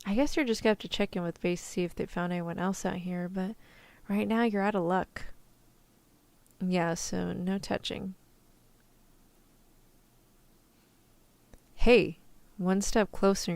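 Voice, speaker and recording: A woman's voice: medium pitch, gravelly, a little mellow, no echo, natural voice. A woman playing a tough, protective, easygoing rescuer in an ASMR roleplay, talking in casual slang. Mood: menacing, possessive. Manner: casual slangy reassurance, turning threatening.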